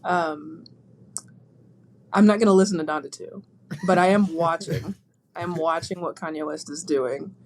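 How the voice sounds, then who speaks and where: A woman guest on a casual podcast, joking and animated as she tells stories over a call. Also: sits low, normal speed, a little hiss or hum. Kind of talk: casual chat. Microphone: remote call.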